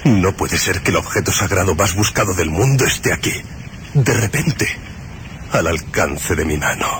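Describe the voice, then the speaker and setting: An actor playing an obsessed, greedy grail seeker in a suspense radio drama, speaking haltingly in awed disbelief. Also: male voice, sits low, lively intonation, fairly bright tone, slight background noise. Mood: stunned disbelief. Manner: halting, repeating words in disbelief.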